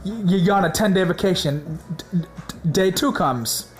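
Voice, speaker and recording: Hoarse dude preaching, kinda high voice, hyped and slangy, faint music under it. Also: male voice, unhurried. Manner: excited, slangy, repeats words for emphasis. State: sore throat, hoarse. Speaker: religious video host. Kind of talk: casual preaching monologue.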